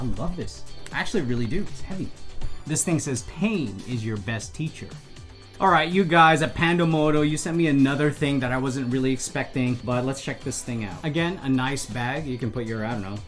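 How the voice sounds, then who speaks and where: A man doing a casual unboxing vlog, chatting and thinking aloud with quick asides. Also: medium pitch, fairly bright tone. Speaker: motorcycle vlogger. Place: indoor bedroom.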